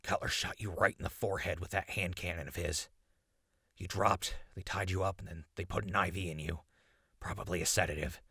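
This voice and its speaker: Man acting a deep, gravelly captive survivor. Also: fairly even intonation, brisk, bright, forceful tone, no room sound, studio quality, full character voice. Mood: grim explaining. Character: captured male radio host, urgent, stubbornly hopeful prisoner. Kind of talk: post-apocalyptic audio drama acting.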